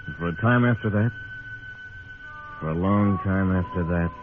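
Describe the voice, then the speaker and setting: A man's voice: low-pitched, normal speed, natural voice. An American man playing a stern frontier marshal in an old radio western, telling his story in a laconic, hard-boiled way. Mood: grave, reflective. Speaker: narrating lead actor. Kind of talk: old-time radio western acting with first-person narration. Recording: tinny old radio recording with music.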